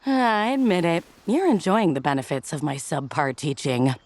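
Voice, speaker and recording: A woman's voice: low-pitched, very animated, moderate pace, a little mellow, no room sound. A woman voicing an easygoing, frank and supportive woman in a motion comic, with casual, slangy phrasing. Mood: playful.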